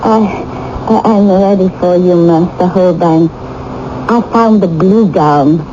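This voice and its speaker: An actress playing a shy, self-critical young noblewoman in a radio drama, speaking in halting, earnest phrases. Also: lowish voice. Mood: shy, nervous. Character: young, insecure, plain-spoken German noblewoman, painfully self-critical. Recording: dull, noisy old radio broadcast.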